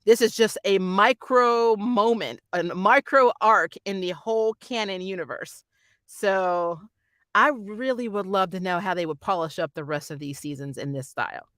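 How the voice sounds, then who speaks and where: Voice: medium pitch, normal speed, a bit bright, no room sound, studio quality. A reviewer chatting casually and animatedly about a show, with a giggly manner, often acting out what characters say. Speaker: reviewer and channel host. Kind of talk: casual fan review commentary.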